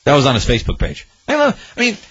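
A man hosting political talk radio, sarcastic and animated, his pitch leaping around as he mocks. His voice is bright and a little husky, recorded dry and slightly dull. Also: fast, dry recording. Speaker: guest radio talk host.

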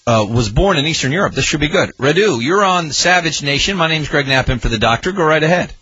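Sarcastic, animated talk radio man. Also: fairly high pitch, quick pace, husky, lo-fi sound. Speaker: guest radio talk host.

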